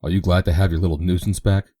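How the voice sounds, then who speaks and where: A man voicing an excitable, bubbly young woman who gushes in breathless exclamations. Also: low-pitched, quick pace, no room sound, clean studio sound. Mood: gushing.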